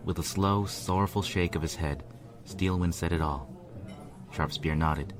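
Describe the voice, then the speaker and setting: A man reading a first-person story aloud as narrator, with an earnest, measured, brooding delivery. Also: sits low, a crowd in the background. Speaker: fan fiction reader, narrator. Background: instrumental music.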